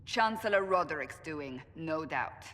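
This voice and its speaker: A woman's voice: sits low, animated, measured pace, a bit bright. An actress playing a stern, resolute female warrior in a fantasy game, speaking in blunt, firm, commanding statements. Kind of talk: scripted fantasy game acting. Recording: long hall reverb.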